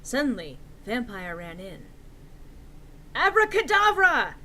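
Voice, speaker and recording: A woman's voice: fairly high pitch, lively intonation, moderate pace, fairly bright tone. A woman narrating a story aloud in a theatrical, emphatic, comic dramatic-reading style.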